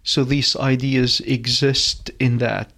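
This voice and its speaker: Slow, halting man with a gravelly voice. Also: mid-range voice, little pitch movement, fairly bright tone. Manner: halting, repeats words, searches for phrasing. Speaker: poet. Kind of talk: reflective interview answers.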